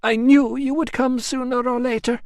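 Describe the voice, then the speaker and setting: A woman's voice: high voice, steady tone, normal speed, a little mellow, dry recording, studio quality, pitched above the performer's own voice. A man reading an audiobook, voicing a sad, hesitant middle-aged woman who is a brewer's daughter.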